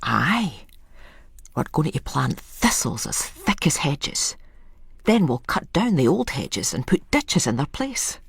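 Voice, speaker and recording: Woman voicing an old Scottish countryman, gravelly and proud. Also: deep voice, very animated, slow, dry recording, clean studio sound, exaggerated voice. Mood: conspiratorial glee. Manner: folksy dialect, matter-of-fact pride. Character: old rustic male hedger, proud, eccentric asylum leaver.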